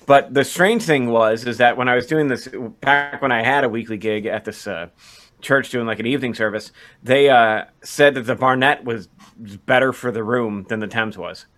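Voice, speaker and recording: A man's voice: medium pitch, moderate pace, fairly bright tone. Man chatting casually, stammering restarts. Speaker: podcast co-host. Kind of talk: casual podcast chat.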